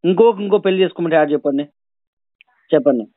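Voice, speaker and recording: A man's voice: a little high, fast, dry recording. A man arguing over a phone call as a borrower, sarcastic and insistent, repeating himself. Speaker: borrower on a debt collection call. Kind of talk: argumentative phone conversation. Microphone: phone line. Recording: thin, band-limited phone call recording.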